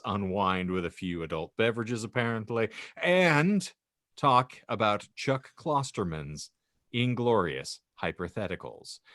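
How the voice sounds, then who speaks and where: A man's voice: mid-range voice, a bit bright, no room sound, clean studio sound. A man hosting a casual comedy podcast with mock-grandiose, wordy phrasing. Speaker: podcast host.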